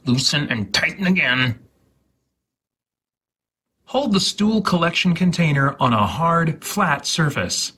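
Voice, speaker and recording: A man giving a deliberate, dramatic reading of step-by-step instructions with exaggerated enunciation, in a husky, breathy voice. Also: on the high side, expressive, sharp tone. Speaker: host.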